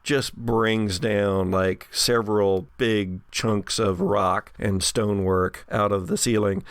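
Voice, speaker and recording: A man's voice: fairly low pitch, fairly even intonation, takes it slowly, clean tone, no room sound, studio quality. A man running a tabletop horror game as game master, narrating scenes and guiding players.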